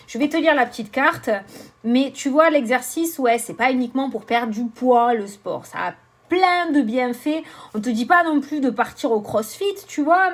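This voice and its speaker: A chatty woman talks straight to her camera, bubbly and self-mocking, cursing now and then. Her voice sits a little higher than most women's. She runs a card-reading vlog. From southern France. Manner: animated, swearing, laughing, self-mocking. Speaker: tarot card reader.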